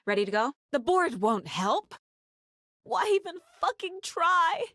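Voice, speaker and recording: An actress playing a determined, sharp and guarded detective and mother in a video game, with naturalistic delivery. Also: very high-pitched, big pitch swings, unhurried, dry recording, clean studio sound, heavily acted. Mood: despairing.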